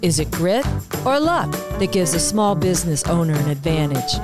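A woman hosting an interview podcast in a studio, chatty and asking quick follow-up questions. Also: fairly low pitch, sing-song, over loud music. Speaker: podcast host.

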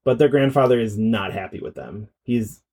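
A man's voice: mid-range voice, moderate pace, darkish tone. A man co-hosting a podcast in casual film discussion, conversational and thinking aloud as he goes. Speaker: podcast co-host.

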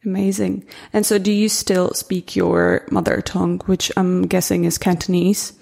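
A woman's voice: lowish voice, animated, moderate pace, creaky, darkish tone. A woman hosting a podcast interview, asking friendly, curious questions. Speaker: podcast host.

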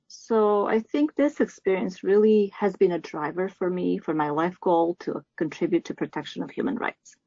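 A woman's voice: lowish voice, normal speed, vocal fry, hardly any pauses, warm tone, no room sound, lo-fi sound. A woman from Bosnia speaking as a business development director, explaining her work as a podcast guest.

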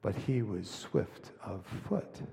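A man voicing a solemn, gentle, all-knowing great lion, speaking slowly and gravely as he reads a storybook aloud. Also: on the low side, lively intonation, pitched below the performer's own voice, exaggerated voice. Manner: slow, grave, measured delivery. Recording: long reverb of a large hall.

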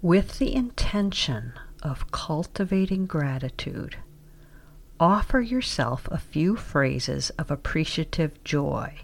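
A woman leading a scripted guided meditation, giving measured, deliberate instructions. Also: low voice, vocal fry.

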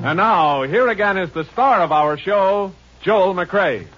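Formal high-voiced radio announcer man. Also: expressive. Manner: formal, measured. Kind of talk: announcing credits. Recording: dull old radio recording with music.

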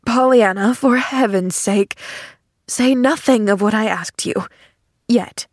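A woman reading the part of a lonely, earnest older man in an audiobook, halting and easily flustered. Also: medium pitch, vocal fry, darkish tone, no room sound, clean studio sound. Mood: pleading. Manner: halting, pleading. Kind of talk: audiobook character acting.

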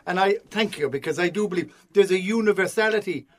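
A man with an Irish accent, a comedian guest in casual chat, expressive and chatty, swearing casually. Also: high voice, fast.

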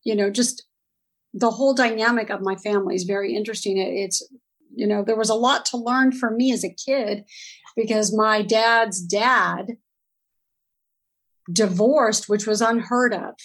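A woman's voice: medium pitch, steady tone, normal speed. A middle-aged woman from Southern California, a guest telling her family story in a chatty interview, laughing easily and restarting her sentences.

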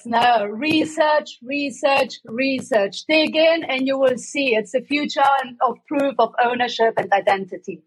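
Woman, from Germany, podcast guest, husky, high-pitched, emphatic. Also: a little slow, hardly any pauses, rough recording. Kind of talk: podcast interview answers. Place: home setup.

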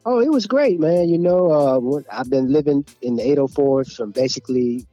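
Young man, Virginia accent, relaxed and slangy, mellow tone, dry sound, faint music underneath. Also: mid-range voice, normal speed, no room sound, muffled recording. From Virginia, USA. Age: 20s. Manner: laid-back, slangy, says "you know" a lot. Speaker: guest, entrepreneur. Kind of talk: casual interview chat.

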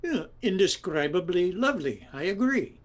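A man playing an affectionate, unflappable older husband in a comedy audio drama, gently teasing and wry. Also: sits high, big pitch swings, normal speed. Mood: fond, teasing.

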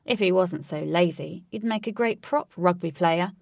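A teasing older father who loves a jab at his son, as a woman puts him on. His voice is a little gravelly and low, full of dry ribbing. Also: normal speed, no echo. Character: joking older father figure.

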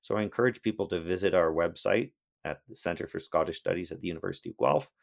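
A man's voice: sits low, fast talker, gravelly. A man, a history professor, answering interview questions in a thoughtful way with frequent restarts.

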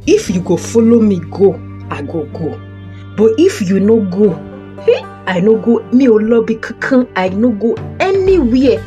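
A woman's voice: mid-range voice, sing-song, unhurried, warm tone, soft background music. A Nigerian woman playing a timid, reluctant male army commander who protests expressively in an audio Bible play. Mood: wary, insistent.

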